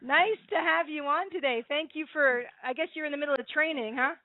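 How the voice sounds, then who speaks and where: A high-voiced woman hosts a radio interview in an upbeat, chatty way. The sound is dry and narrow, like a phone line. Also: a little fast, no room sound. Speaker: host.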